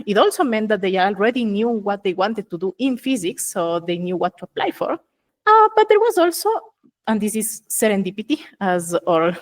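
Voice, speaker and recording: Ecuadorian woman explaining with careful pauses. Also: medium pitch. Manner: thoughtful, explains step by step with many "uh" pauses. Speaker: geophysicist guest. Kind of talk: casual interview.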